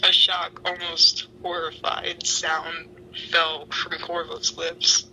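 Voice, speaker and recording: A woman's voice: sits low, bright, forceful tone, a little hiss or hum, poor audio. A young woman reading fan fiction dramatically and chatting in slang with friends.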